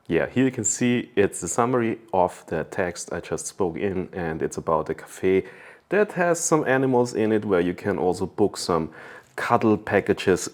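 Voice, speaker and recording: A man giving a casual hands-on product review, talking conversationally and thinking aloud as he goes. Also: sits low.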